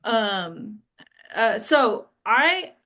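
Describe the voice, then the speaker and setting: Halting, casual woman host. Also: a little high, very animated, pushed and bright. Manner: halting, filler-heavy. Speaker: host leading a discussion. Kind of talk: casual discussion.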